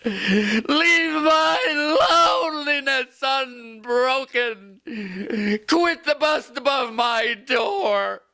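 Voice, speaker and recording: A man's voice: very high voice, lively intonation, drawn-out words, pushed and bright, pitched above the performer's own voice, heavily acted. A man playing an anguished, grieving man in a theatrical poetry recitation, desperate, wailing and melodramatic. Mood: shrieking rage.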